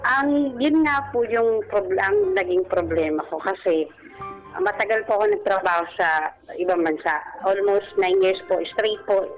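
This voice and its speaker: A woman's voice: medium pitch, expressive, measured pace, dull audio. A Filipino woman speaking politely and deferentially as a radio caller, telling a personal story in a casual interview. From Philippines. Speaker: radio show caller, former overseas domestic worker. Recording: music bed behind voice. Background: background music.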